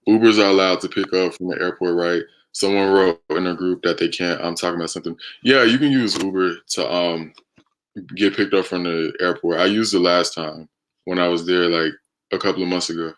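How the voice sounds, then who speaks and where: An American man with a deep, low voice hosts a live stream. He talks in a casual, conversational way, like someone answering questions from viewers. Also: steady tone, a little fast, a bit bright, low-quality recording. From United States.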